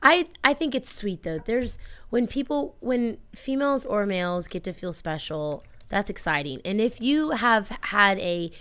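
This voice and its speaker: A woman co-hosts a casual radio show with a smooth, clear voice at a medium pitch. She is upbeat and gushes over sweet ideas. The sound is dry and close, as on a studio microphone. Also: expressive, a little slow, a bit bright, no room sound.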